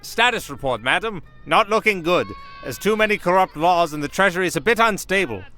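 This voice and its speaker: High, bright little gnome guard. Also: male voice, lively intonation, fairly quick, soft background music. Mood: brisk, official. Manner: clipped, military. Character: small, powerful gnome guard, brisk soldierly reporter. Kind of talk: fantasy ASMR roleplay.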